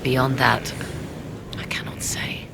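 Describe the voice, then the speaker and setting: An actress playing a mysterious, proud sorceress in a video game, speaking in formal, archaic and measured phrases with a low, creaky voice. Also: female voice, little pitch movement, whispered, full character voice. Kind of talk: video game dialogue acting.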